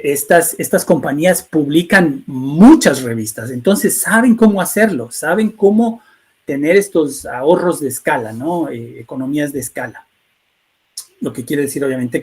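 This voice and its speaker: Middle-aged guy from ecuador giving a webinar talk, kinda high voice, lots of restarts and tag questions. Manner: frequent fillers and restarts, rhetorical tag questions. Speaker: webinar speaker, researcher. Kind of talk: lecture-style talk.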